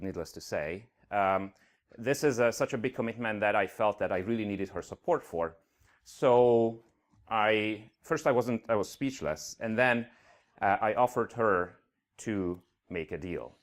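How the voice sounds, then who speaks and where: Hungarian-accented man giving a conference talk. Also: lowish voice. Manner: earnest, with frequent uh fillers. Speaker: conference speaker. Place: conference venue stage.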